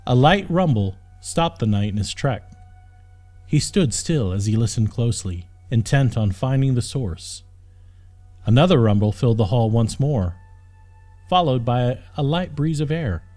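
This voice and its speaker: A man's voice: mid-range voice, animated, a little slow, mellow. A man reading a fantasy story as an audiobook narrator, with dramatic scripted storytelling. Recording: music under the voice. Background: background music.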